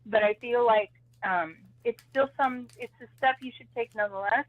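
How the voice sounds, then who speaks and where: A woman's voice: fairly high pitch, moderate pace, no echo. A woman giving a psychic reading on a live call-in show, hedging with "I feel like" over and over. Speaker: psychic reader. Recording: tinny phone line.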